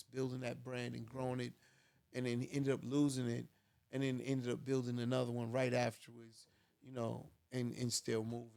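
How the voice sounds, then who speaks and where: A man's voice: medium pitch, gravelly, darkish tone. A man hosting a podcast in a studio, chatting casually with lots of "you know" asides. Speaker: podcast host.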